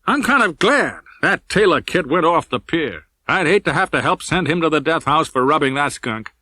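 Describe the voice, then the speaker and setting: Male reader as police detective, raised pitch, brash, wry, tough-talking, crime audiobook, dry sound. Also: sing-song, normal speed, bright, forceful tone, no room sound, heavily acted. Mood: wry, breezy.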